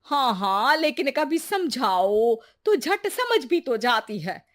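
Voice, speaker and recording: High-voiced nagging mother-in-law, acted by a woman. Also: big pitch swings, slow, exaggerated voice. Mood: chatty complaining. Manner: complaining, wheedling, theatrical. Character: nagging, dramatic middle-aged Indian mother-in-law. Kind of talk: acted Hindi family story cartoon.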